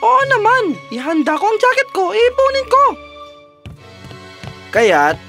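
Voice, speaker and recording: A storyteller narrates a scripted fairy tale with animated, moving intonation. The voice sits in a middle register, with music clearly playing behind it. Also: mid-range voice.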